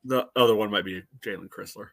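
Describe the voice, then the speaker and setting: A man's voice: on the low side, quick pace, creaky, a bit bright. A man chatting casually as a sports fan on a podcast, wry and blunt, often saying "you know".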